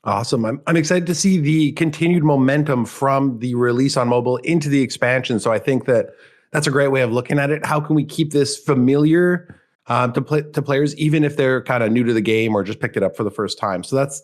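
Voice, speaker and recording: A man hosting a game podcast, slightly hoarse from a cold, upbeat and friendly as he asks questions. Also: medium pitch, brisk. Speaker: podcast host. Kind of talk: casual podcast chat.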